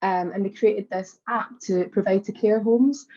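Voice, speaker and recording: A Scottish woman from Glasgow in her late twenties, a guest in an interview, telling her story in a relaxed, easygoing way. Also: mid-range voice, unhurried. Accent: Scottish (Glaswegian). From Glasgow, Scotland. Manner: relaxed, storytelling, frequent "um" and "kind of". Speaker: guest, social media agency founder. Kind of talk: conversational interview. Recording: dull lo-fi remote audio.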